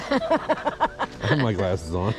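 A man in his forties chatting casually for a travel vlog, filming himself outdoors at a lakeside lighthouse with a handheld camera. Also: high-pitched. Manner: easygoing, chuckling, lively. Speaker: travel vlogger. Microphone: handheld camera at arm's length.

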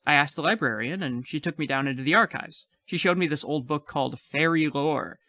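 A man's voice: a little high, fairly quick, pushed and bright. A man playing a frightened but defiant, clever child in an audio play. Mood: earnest explaining.

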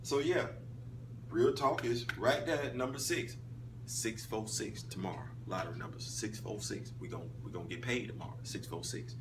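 A man in his thirties hosting a casual flag football league recap, talking emphatically and swinging between loud call-outs and low asides. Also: normal speed. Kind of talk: casual sports recap monologue. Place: small indoor room, in front of a wall poster. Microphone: phone camera at arm's length. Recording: phone audio, a bit distant, faint hum.

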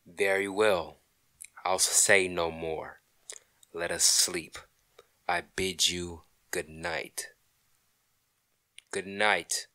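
A man's voice: sits low, steady tone, very slow, long pauses, sharp tone, dry recording, studio quality, exaggerated voice. A man playing an indignant, stubborn middle-aged husband in an old-time radio comedy, blustering, sarcastic and emphatic. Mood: curtly resigned.

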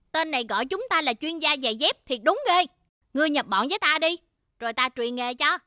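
Bright, chirpy tiny cartoon helper. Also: female voice, sits high, expressive, moderate pace, no echo, clean studio sound. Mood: amused. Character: tiny cheerful good-natured shoemaker helper. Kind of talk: children's animated fairy tale acting.

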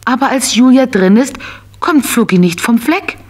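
A woman narrating a scripted children's tale in an expressive, simple storytelling manner. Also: on the high side, normal speed, breathy edge.

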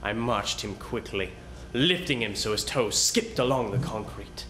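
Bright, forceful man in an echoey room. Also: a little slow. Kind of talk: dramatic reading of a narrative piece. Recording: roomy echo, faint rumbling noise.

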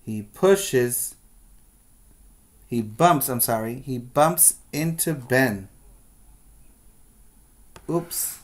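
A man's voice: medium pitch, animated. A middle-aged man, a preschool teacher, reading a storybook aloud and putting questions to his young pupils. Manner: slow, deliberate reading with questioning asides. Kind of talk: children's story read-aloud with asides to pupils. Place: small plain room indoors.

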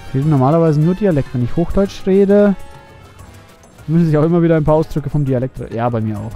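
A man's voice: fairly high pitch, fast talker, dark tone, muffled recording, soft background music. A man giving casual, playful live commentary as he streams a video game and chats with viewers.

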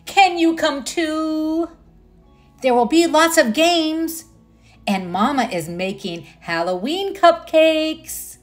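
A woman's voice: sits high, expressive, takes it slowly, soft background music, voice pushed up. A woman voicing a sweet young boy mouse from a storybook, eager and exclamatory. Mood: excited.

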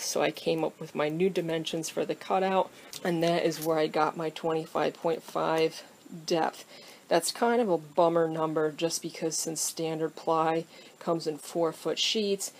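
A woman talking through a cabinet build in her wood workshop in a relaxed, casual how-to style, thinking aloud with frequent ums. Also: sits low, unhurried, slight background noise. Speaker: woodworker explaining a build. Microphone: camera pointing down at the workbench, speaker off-screen.

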